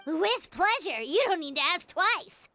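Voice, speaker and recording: Game sidekick role: tiny childlike fairy, super high-pitched, lively swoops, blunt and excitable. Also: female voice, unhurried, gravelly, faint music behind, exaggerated voice. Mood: indignant, cheeky. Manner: childlike, excitable, refers to self by name. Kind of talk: video game character acting.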